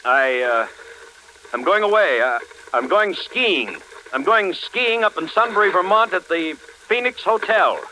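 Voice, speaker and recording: An excitable engineer from a 1940s radio thriller. His voice is high and very bright, with a forceful edge. The vintage recording carries faint noise. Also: measured pace, heavily acted, poor audio. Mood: strained, fearful. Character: excitable engineer turning frightened and evasive over radio.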